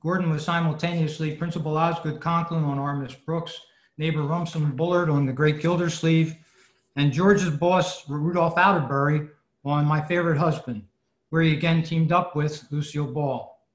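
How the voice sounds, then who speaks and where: A man's voice: a little high, steady tone, moderate pace. A man presenting scripted narration to a club over a video call. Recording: roomy, dull video-call audio.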